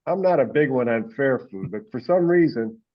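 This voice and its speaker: A man's voice: medium pitch, lively intonation, moderate pace. A man giving an informal, rambling, folksy update to a group on an online call. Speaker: presenter on a hobbyist teleconference. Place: online teleconference. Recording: dull, lo-fi conference call audio.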